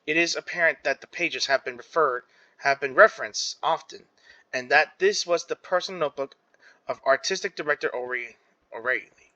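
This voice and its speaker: Guy streaming a game, reads the text out dramatically, kinda halting, forward bright voice a bit on the high side. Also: muffled recording. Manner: halting reading, stumbles and self-corrects. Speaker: gaming streamer. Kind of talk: reading game text aloud with dramatic emphasis and casual asides.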